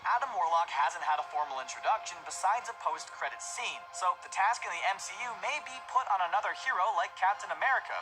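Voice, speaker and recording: Scripted narrator, lively pitch, music underneath, produced clip. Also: fast, hardly any pauses, rough recording. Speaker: video narrator.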